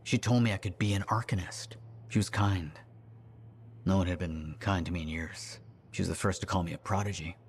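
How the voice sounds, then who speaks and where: An actor playing an earnest, bookish arcanist in a video game, speaking in a polite, well-spoken, reflective way. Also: male voice, sits low, steady tone, a little fast, a little hiss or hum. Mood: wistful. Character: earnest, bookish male arcanist, former street kid. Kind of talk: game dialogue acting.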